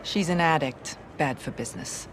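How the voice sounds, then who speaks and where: A woman's voice: sits low, normal speed, slight background noise, close to an everyday voice. Woman acting hard-nosed American brothel madam. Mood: matter-of-fact. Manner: blunt, bargaining, matter-of-fact. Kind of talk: video game cutscene acting.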